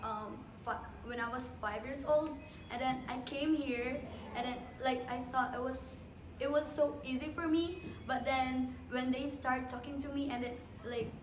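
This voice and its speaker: High-voiced young woman from the Philippines. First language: Filipino. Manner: chatty, lots of "like" and restarts. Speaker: interviewee. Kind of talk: casual interview answers. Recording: roomy, distant, noisy. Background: clear ambient noise.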